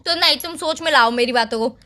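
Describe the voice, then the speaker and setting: A woman's voice: high-pitched, quick pace, bright, forceful tone. A young woman arguing casually on a phone call, questioning insistently and pleading with her partner.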